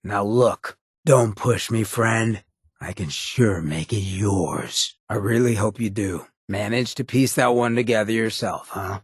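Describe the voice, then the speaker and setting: Casting: roguish ghoul game companion, heavily rasping low voice, streetwise, blunt, loyal, slangy drawl. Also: male voice, measured pace, dry recording, studio quality. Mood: stern, threatening. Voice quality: husky, gravelly rasp. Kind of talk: video game companion dialogue.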